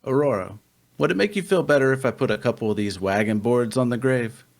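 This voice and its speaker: A man's voice: medium pitch, brisk, creaky, natural voice. A man playing a big, easygoing warrior who is casual and blunt, in a fantasy drama table read.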